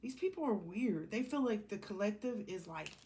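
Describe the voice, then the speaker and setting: A woman tarot reader talks in a casual, blunt monologue at a middling pitch. She wanders between asides in an informal way. Faint noise and small clicks sit behind her voice. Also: lively intonation, normal speed, mellow.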